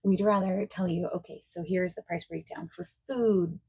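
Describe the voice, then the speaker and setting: Lady acting as eager female party planner, asmr roleplay, super breathy almost whispery, mellow tone, chatty and accommodating. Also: fairly low pitch, fairly even intonation, moderate pace. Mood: chatty, reassuring. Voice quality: soft, breathy, often near whisper. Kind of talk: soft-spoken ASMR roleplay.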